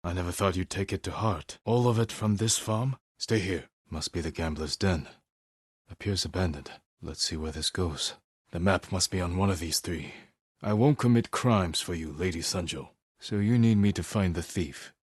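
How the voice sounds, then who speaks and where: An actor playing a stern, honorable young samurai in a video game, speaking low and husky in a restrained, measured, terse way. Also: male voice, dry recording, clean studio sound. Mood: firm, measured, then low, wary. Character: stern, honorable young samurai warrior, weary but resolute. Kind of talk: dramatic video game acting.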